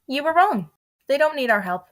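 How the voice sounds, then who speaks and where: A woman playing a cautious, businesslike spaceship officer with a crisp, dry manner. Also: sits high, very animated, moderate pace, slight background noise. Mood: skeptical.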